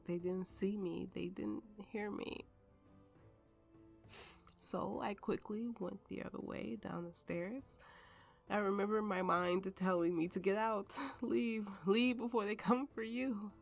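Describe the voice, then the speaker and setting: Woman acting as tipsy haunted warrior lady, asmr story, slow n halting, croaky fry, music behind. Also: medium pitch, steady tone. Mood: numb, intense. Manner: halting, slow, dramatic pauses, dropping toward whispers. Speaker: ASMR roleplay voice performer. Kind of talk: ASMR roleplay, dramatic storytelling.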